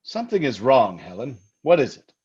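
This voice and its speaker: A man playing a soothing, patronizing, insistent husband with quiet menace in a radio suspense drama. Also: medium pitch, very animated, moderate pace, slightly rough. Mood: soothing, probing. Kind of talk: old-time radio suspense acting. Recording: slightly dull, lo-fi sound.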